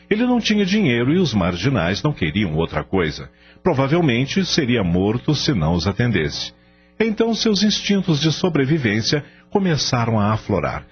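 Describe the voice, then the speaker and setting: A man narrating a radio novel as scripted dramatic storytelling. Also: lowish voice. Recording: dull radio-like audio, music behind.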